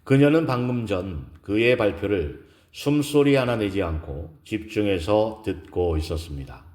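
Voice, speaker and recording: A man narrating a romantic audio drama in a scripted, slow and solemn style, with measured pauses. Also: lowish voice, animated, a little mellow.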